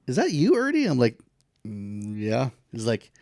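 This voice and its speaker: A man with a baritone voice tells stories in casual podcast chat. His pitch sits in the middle, and he laughs often as he talks. The sound is dry and close. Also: unhurried, no echo. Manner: animated storyteller, laughs often. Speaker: podcast co-host.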